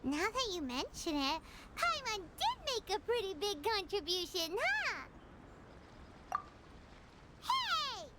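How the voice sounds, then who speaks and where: Game sidekick a tiny excitable fairy: childlike squeak, hugely animated pitch, unhurried pace. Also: female voice, very noisy, heavily acted. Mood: cheeky. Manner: exclamatory, chatty, theatrical. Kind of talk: scripted video game character acting. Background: background music.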